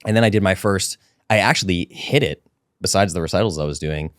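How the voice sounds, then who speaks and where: A man from Rhode Island, a podcast guest, telling stories about his music life in animated, casual chat. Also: low voice, dry recording, studio quality. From Rhode Island, USA. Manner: animated, fast storytelling.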